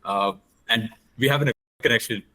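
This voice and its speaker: A man's voice: mid-range voice, moderate pace, sharp tone, rough recording. A man on a video call opening a sales conversation, slightly hesitant with fillers.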